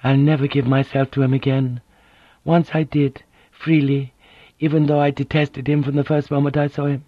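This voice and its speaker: A man reading a story aloud, voicing a proud, wounded noblewoman who speaks calmly and bitterly. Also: very deep voice, normal speed, no room sound, lo-fi sound, voice pushed up. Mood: resentful. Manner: calm, controlled, bitter.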